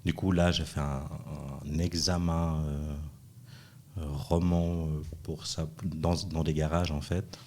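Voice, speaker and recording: A man's voice: very deep voice, flat delivery, takes it slowly, a little mellow. A middle-aged man, chatting casually as an interview guest in a joking, self-deprecating way. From born in Chile, raised in Switzerland from age five. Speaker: podcast guest, dance school founder. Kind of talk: casual chat.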